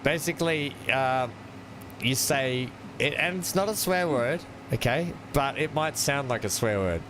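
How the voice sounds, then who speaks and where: An Australian man chats over a multiplayer farming game, joking and laughing as he tells a story. His voice sits at an ordinary pitch, with steady hiss and hum beneath it on the mic. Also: measured pace. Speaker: gaming channel host. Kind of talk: casual chat while streaming a game.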